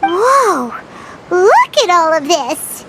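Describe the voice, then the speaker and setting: A squeaky, excitable little companion. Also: extremely slow, background noise, exaggerated voice. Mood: amazed. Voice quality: very high and bouncy. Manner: eager exclamations. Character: tiny excitable girl travel companion, chirpy. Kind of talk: fan voice dub of a game cutscene. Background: background music.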